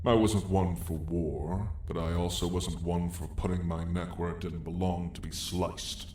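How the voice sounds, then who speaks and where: A man's voice: low voice, fairly even intonation, measured pace, a little mellow. A man playing an ancient, weary dragon lord with dry menace, telling his tale in a grand, wry, old-fashioned way for an ASMR roleplay. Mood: musing, nostalgic. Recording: roomy echo, faint background noise.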